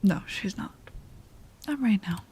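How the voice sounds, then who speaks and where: A woman streaming ASMR, chatting casually in a soft, breathy, often whispered voice with a relaxed, intimate manner, close on the mic. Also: medium pitch. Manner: relaxed, slow, intimate murmur. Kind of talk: ASMR, casual chat with live viewers. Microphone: close ASMR microphone. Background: music.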